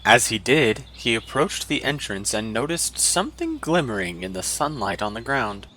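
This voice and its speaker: Male audiobook narrator, bright forceful tone, mid pitch, dramatic fantasy storytelling, ambient noise behind.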